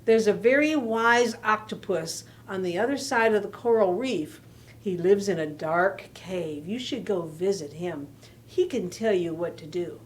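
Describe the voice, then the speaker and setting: A woman voicing a calm, helpful starfish who gives soft, measured advice. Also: medium pitch, a little hiss or hum, close to an everyday voice. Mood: advising.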